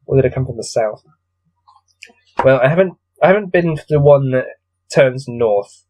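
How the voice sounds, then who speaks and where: A man's voice: medium pitch, lively intonation, moderate pace, a little mellow, rough recording. A man with a cold coming on reads a gamebook aloud, adding weary, exasperated, off-the-cuff commentary. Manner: weary, grumbling, exasperated asides. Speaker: solo gamebook reader and commentator.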